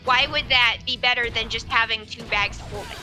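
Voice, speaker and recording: A woman's voice: on the high side, steady tone, brisk. A woman chatting casually over a video game, playfully questioning and debating. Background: game music.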